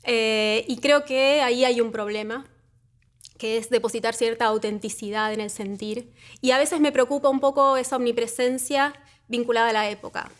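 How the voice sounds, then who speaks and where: A woman from Argentina with an Argentine accent, speaking as an academic researcher in a measured, reflective conversation with frequent pauses. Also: a little high, steady tone. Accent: Argentine Spanish.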